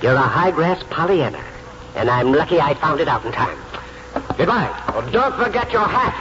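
A brusque, scornful American company man in a 1940s radio drama. His voice sits fairly high and swings in pitch. The sound is dull, with faint noise. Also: very animated. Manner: brisk, contemptuous. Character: brusque, scornful male oil company client.

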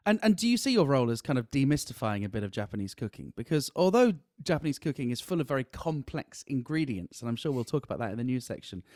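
A man's voice: medium pitch, very animated, fast talker, hardly any pauses, a little mellow, dry recording, studio quality. A man hosting a podcast, chatting casually and steering the talk with leading questions. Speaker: podcast host.

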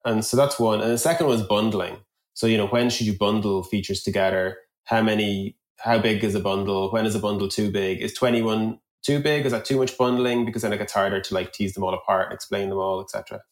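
Male podcast guest, lowish voice, roomy echo, conversational, explaining at length. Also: steady tone, fast. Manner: long-winded, thinking aloud with examples. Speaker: podcast guest, product executive.

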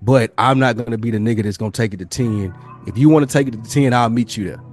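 A man speaks casually as a podcast speaker over music, at a middling pitch. He is an animated storyteller who slips into imagined dialogue and swears freely. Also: fast talker.

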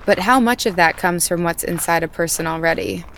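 A woman playing a calm young farm woman. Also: on the low side, a little fast, a little hiss or hum, lightly acted. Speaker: farmer's daughter. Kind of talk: audio drama acting. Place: outdoors on a farm at night.

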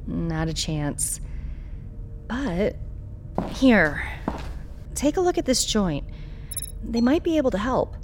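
An actress playing a blunt, world-weary parts dealer in a science-fiction audio drama, talking in a familiar way. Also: female voice, medium pitch, big pitch swings, slow pace, long pauses, slight background noise. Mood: resigned.

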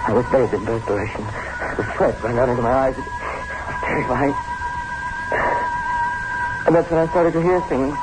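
An actor playing a fearful, trapped older husband in a theatrical, halting 1960s radio horror style. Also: male voice, medium pitch, lively intonation, loud music behind the voice, lightly acted. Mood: haunted dread. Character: trapped, panicking older husband narrating his ordeal. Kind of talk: 1960s radio horror acting, first-person narration. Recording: very noisy old 1960s radio recording.

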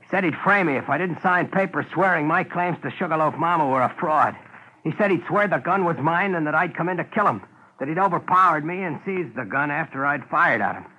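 A man's voice: fairly high pitch, expressive, fairly quick, sharp tone, rough recording. A man playing an embittered, hot-tempered songwriter, tense and blustering, in a 1950s radio drama. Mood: bitter. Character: hot-tempered, embittered starving male songwriter, defiant crackpot. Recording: old 1950s radio recording.

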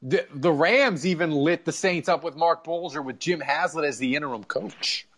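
A man hosting a sports podcast, telling stories as an excitable, casual fan who swears freely. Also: on the high side, normal speed. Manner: profane, excitable storytelling.

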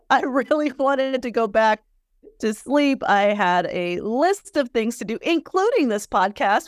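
A woman's voice: on the high side, a little mellow. Stuffy-nosed woman hosting a motivational podcast. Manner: candid, earnest and encouraging. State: slightly stuffy from sinus pressure. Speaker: podcast host and personal coach.

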